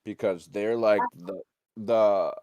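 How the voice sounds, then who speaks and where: A man with a low voice talks in a loose, excitable ramble, like a co-host sharing opinions with friends. He swears freely and keeps it casual. The sound is dry, with hardly any room in it. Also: takes it slowly, no room sound, dull audio. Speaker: podcast co-host.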